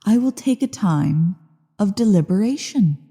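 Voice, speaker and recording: A woman voicing an ancient evil female dragon in a fantasy ASMR roleplay, haughty, imperious and condescending, with deliberate phrasing and a breathy, gravelly, dark voice. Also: medium pitch, animated. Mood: condescending, deliberating. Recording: long cavernous reverb.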